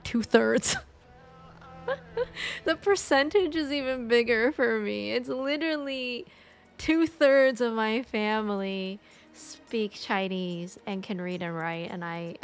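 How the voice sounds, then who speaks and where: A woman from the United States chatting casually on a stream, rambling with many fillers and restarts. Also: medium pitch. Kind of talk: casual chat.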